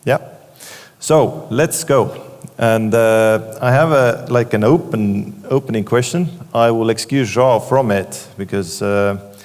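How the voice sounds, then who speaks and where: A man's voice: fairly low pitch, slow pace, a little mellow. A man moderating a conference panel, talking loosely with many fillers. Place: conference stage in a large hall. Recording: long hall reverb.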